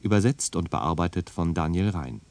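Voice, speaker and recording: A man's voice: sits low, animated, moderate pace, a little mellow, dry recording. A man reading scripted radio drama credits as an announcer.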